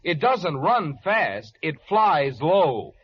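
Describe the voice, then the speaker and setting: A man's voice: on the high side, big pitch swings, extremely slow, husky. A man announcing for a sponsor in a 1940s radio advertisement, with jovial, polished salesmanship. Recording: dull, faintly noisy vintage radio recording.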